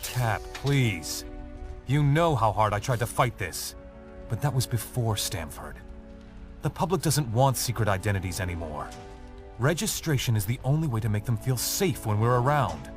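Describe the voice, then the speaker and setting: An earnest male superhero played by a man in a video game cutscene. He pleads persuasively in a mid-pitched voice, and loud music plays behind him. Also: animated, moderate pace, natural voice. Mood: earnest pleading.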